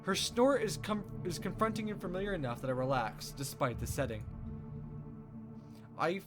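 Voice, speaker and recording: A man reading a visual novel aloud as a let's play host, with expressive storytelling narration. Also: sits high, moderate pace, over music.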